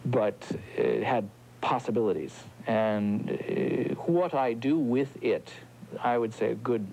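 Halting, gravelly middle-aged man. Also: medium pitch, expressive, warm tone, rough recording. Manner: halting, thoughtful, many restarts. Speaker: opera singer. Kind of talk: reflective interview talk.

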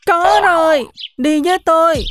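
A woman's voice: extremely high pitch, measured pace, music in the background. A woman voicing an earnest, determined young goat in a children's cartoon. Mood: eager, determined.

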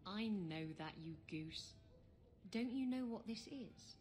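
An actress playing a flirtatious, irreverent female seafaring adventurer in a video game, with a teasing, unhurried delivery. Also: medium pitch, lively intonation, a little mellow, faint music behind. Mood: amused, teasing. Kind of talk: video game dialogue acting.

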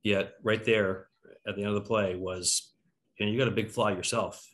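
A man's voice: lowish voice, fairly even intonation, moderate pace, fairly bright tone. A middle-aged man hosting a casual panel on a video call, musing aloud as he works toward his questions. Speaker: talkback host. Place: indoor room on a video call. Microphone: video call computer microphone.

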